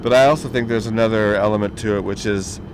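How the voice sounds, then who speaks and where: A man hosting a casual interview, chatty and quick to laugh, from the American Southwest. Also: fairly low pitch, fairly even intonation. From Southwest US, northern New Mexico and southern Colorado. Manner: friendly, chatty, laughs easily. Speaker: interview host. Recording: noisy background with traffic.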